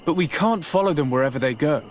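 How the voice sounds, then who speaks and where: A man acting a polite, empathetic diplomat hero in a video game, speaking in a calm, courteous way. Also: fairly high pitch, fast talker, a little hiss or hum, natural voice. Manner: courteous, even-tempered.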